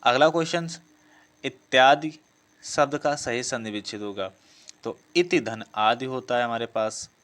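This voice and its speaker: Male teacher working through practice questions, mid-pitched, repetitive and methodical. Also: a little slow, a bit bright. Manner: reads each option aloud, then confirms with "bilkul"; self-correcting, repetitive phrasing. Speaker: exam coaching teacher. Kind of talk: explaining practice questions in a lesson.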